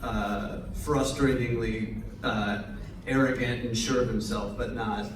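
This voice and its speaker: A man's voice: mid-range voice, steady tone, slow. A man, the show's creator, explaining choices in a thoughtful, anecdotal way with light chuckles. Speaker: series creator. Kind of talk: interview answers. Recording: echoey room, distant mic, noise.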